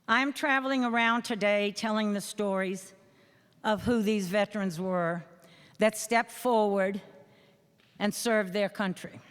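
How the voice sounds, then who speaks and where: An older woman with a New England accent speaks to a school assembly as a veteran, earnest and emphatic, with measured pauses. Her voice is smooth and clear, and it rings with a long reverb through a large hall. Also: mid-range voice. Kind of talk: speech. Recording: echoey hall.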